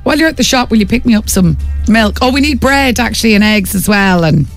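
A woman's voice: on the high side, expressive, fast, faint music behind. A middle-aged Irish woman co-hosting a morning radio show, chatting playfully, teasing her co-host and mimicking the requests people make. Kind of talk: casual breakfast radio chat. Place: radio studio.

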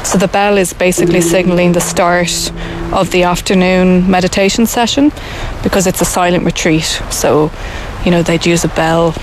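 An Irish woman who grew up speaking Irish, explaining her practice in a relaxed, thoughtful way with frequent ums and restarts. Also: on the low side, normal speed, heavy background noise. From Ireland. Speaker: interviewee, Buddhist centre member. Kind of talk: casual conversational explanation.

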